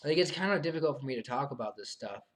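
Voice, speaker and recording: A man's voice: mid-range voice, lively intonation. Young man, live streamer, mid-range voice, subdued and hesitant, full of fillers, voice getting tired. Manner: hesitant, halting, many fillers and restarts; soft, subdued, earnest. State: losing his voice after long talking. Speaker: live streamer answering viewer questions. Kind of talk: casual live stream monologue.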